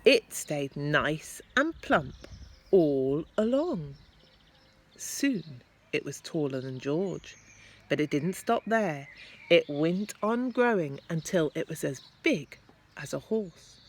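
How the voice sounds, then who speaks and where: A woman teacher reading a children's story aloud expressively, with deliberate, dramatic emphasis. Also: low voice, lively intonation.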